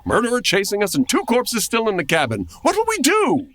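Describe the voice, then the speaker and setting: A man's voice: high-pitched, wildly swooping pitch, fairly quick, fairly bright tone, exaggerated voice. An actor playing an anxious, bewildered, earnest man from the 1940s in a comedy audio drama. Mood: panicked, despairing. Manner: fretful, earnest. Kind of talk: comedy audio drama acting, old-time radio flavour.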